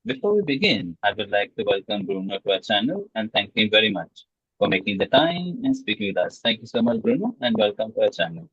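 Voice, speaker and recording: A man's voice: lowish voice, big pitch swings, a little fast, fairly bright tone, husky, no room sound. A man hosting an interview, formally and politely reading a scripted introduction and questions. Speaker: interviewer, host. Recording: dull, lo-fi, dry sound.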